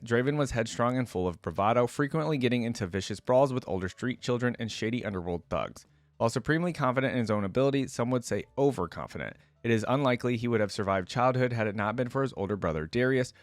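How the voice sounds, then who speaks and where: A man's voice: mid-range voice, expressive, fast talker, dry recording. A man doing casual reaction commentary, reading text aloud and adding his own remarks. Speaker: host of a gaming reaction channel.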